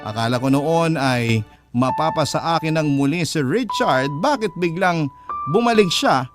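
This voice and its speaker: A man's voice: on the high side, lively intonation, a little slow. A man with a Filipino accent narrating a story dramatically in the first person, as a radio storyteller. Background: music bed.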